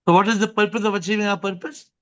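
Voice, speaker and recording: A man's voice: high voice, fairly even intonation, racing. A man hosting an online spiritual question session, probing with repeated questions and telling anecdotes.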